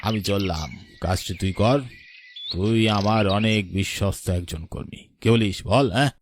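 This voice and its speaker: A man's voice: on the low side, unhurried, a little hiss or hum, lightly acted. A man playing a domineering, corrupt village councillor in a horror cartoon, brusque and commanding. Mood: bossy. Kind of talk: animated horror story voice acting.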